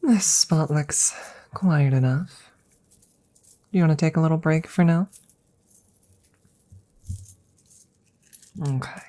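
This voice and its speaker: Gentle protective witch, female performer, dark mellow voice, unhurried whispery delivery, tender ASMR roleplay. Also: sits low, exaggerated voice. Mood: gentle, coaxing. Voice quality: soft, breathy, often whispering. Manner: tender, slow, reassuring. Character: gentle protective witch soothing her werewolf familiar. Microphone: close microphone. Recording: close-up whispery ASMR recording.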